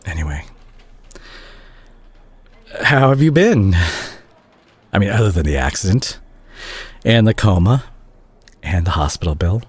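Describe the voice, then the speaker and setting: Man acting a deep, husky, remorseful doctor ex-boyfriend. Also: big pitch swings, unhurried, long pauses, no echo, full character voice. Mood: lightly teasing, warm. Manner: hesitant, gentle, self-conscious, sighing. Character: remorseful, tender doctor ex-boyfriend, awkwardly joking. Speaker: audio drama voice actor. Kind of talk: intimate scripted audio roleplay, addressed to listener.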